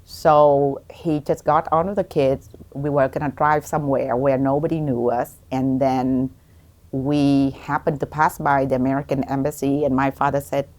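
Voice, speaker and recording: Low-voiced middle-aged woman, Vietnamese accent. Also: flat delivery, a little mellow. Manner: halting, with many pauses. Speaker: guest, doctor. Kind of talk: personal storytelling in a podcast interview.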